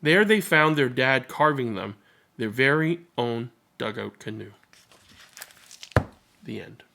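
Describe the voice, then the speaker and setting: A man's voice: medium pitch, lively intonation, takes it slowly, gravelly, bright, forceful tone. Man reading aloud. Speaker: storybook reader and channel host. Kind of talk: children's read-aloud narration with casual commentary.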